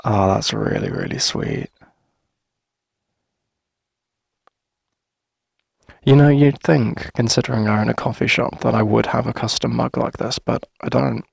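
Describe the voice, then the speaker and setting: A man playing a shy, friendly, flirty coffee shop owner in a gentle ASMR roleplay, speaking hesitantly in a husky, breathy voice. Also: mid-range voice, fairly even intonation, dry recording, clean studio sound. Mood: touched, grateful. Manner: hesitant, gentle, halting with soft chuckles. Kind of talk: soft conversational ASMR roleplay.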